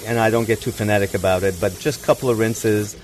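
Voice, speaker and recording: A man from Iran with a low-pitched voice talks as a guest cooking teacher. He explains things conversationally and informally, with faint background noise in the studio recording. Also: steady tone, quick pace.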